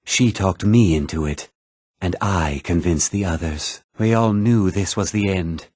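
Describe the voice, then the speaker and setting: Husky, haughty fantasy official. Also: male voice, low voice, darkish tone, no echo, studio quality. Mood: grave. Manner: formal, measured, precise, condescendingly polite. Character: haughty, formal middle-aged mage and official, courteous but easily exasperated. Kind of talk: fantasy video game dialogue.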